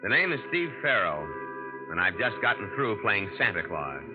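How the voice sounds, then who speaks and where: A man's voice: lowish voice, sing-song, moderate pace. A man playing a streetwise young drifter in an old radio drama, telling his story in a wry, tough-guy way. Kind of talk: 1940s radio drama acting. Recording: tinny old radio broadcast with music.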